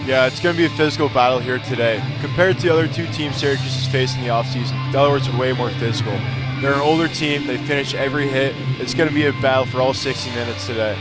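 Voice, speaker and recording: A man's voice: medium pitch, fast, poor audio. A man giving live color commentary on a hockey game in a plain, conversational way. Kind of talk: live sports analysis. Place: ice rink during a live game. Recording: music playing loudly behind live commentary.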